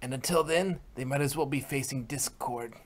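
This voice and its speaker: A grave, knowing pony healer who explains things slowly and seriously, read by a man in his own slightly husky voice. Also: mid-range voice, close to an everyday voice.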